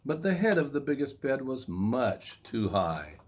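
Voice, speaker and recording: A man reading a children's story in a playful, expressive way with dramatic emphasis. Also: mid-range voice, measured pace.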